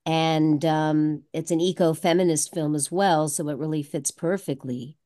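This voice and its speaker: Woman with a slightly rough voice. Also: low voice, steady tone, a little slow, mellow. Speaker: film festival organizer, guest. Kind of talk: casual talk over video call. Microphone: video call.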